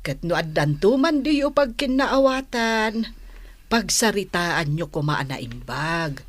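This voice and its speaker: A caring older mother in an Ilocano radio drama. She speaks slowly and earnestly in a slightly low voice, as if counselling her child. The sound around her is dry. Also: no echo, heavily acted. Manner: measured, advising.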